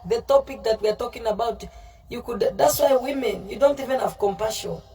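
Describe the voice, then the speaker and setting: A woman's voice: on the high side, fairly quick, mellow. Scolding woman preacher, distant mic. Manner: confrontational, scolding, repeats herself; mixes in Pidgin. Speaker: livestream preacher. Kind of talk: fiery informal sermon, answering live comments.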